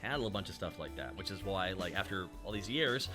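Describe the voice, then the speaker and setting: A man's voice: sits low, fairly quick, over music. A male streamer chatting casually and doing cartoon voice impressions. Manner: animated, does cartoon impressions. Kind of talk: casual chat.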